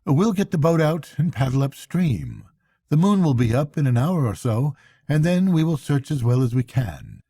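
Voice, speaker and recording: A loyal little mole from a bedtime storybook, played by a man. The voice sits well up high and talks plainly and eagerly, with a good heart. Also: sing-song, normal speed, warm tone, breathy edge, no echo, studio quality, exaggerated voice. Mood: determined. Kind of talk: bedtime storybook character reading.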